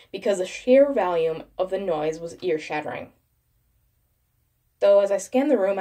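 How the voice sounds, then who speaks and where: A woman's voice: mid-range voice, dark tone. A woman reading a first-person horror story as a dramatic scripted narration.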